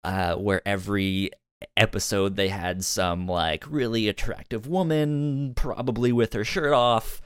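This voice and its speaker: A man's voice: on the low side, fairly even intonation, unhurried, clean tone, dry recording, clean studio sound. A man co-hosting a casual review podcast, who often stammers and repeats words as he starts a thought. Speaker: podcast co-host.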